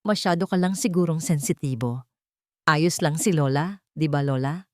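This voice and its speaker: A woman voicing a sharp, menacing daughter-in-law in a storybook drama, cold and pressuring under a sugary tone. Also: sits low, moderate pace, dry recording, studio quality, natural voice. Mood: annoyed.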